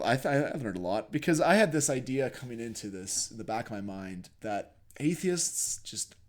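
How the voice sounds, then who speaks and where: A man co-hosting a casual podcast discussion, earnest, thinking aloud in halting phrases. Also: mid-range voice, darkish tone. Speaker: podcast co-host.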